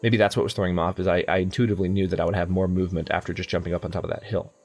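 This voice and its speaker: A young man with a fairly low voice talks through a strategy game as he plays it. He thinks aloud, backtracks and corrects himself in a loose, chatty way. Faint game music plays behind him. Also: level tone, rapid-fire, a little mellow. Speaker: let's play host. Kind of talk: casual gameplay commentary.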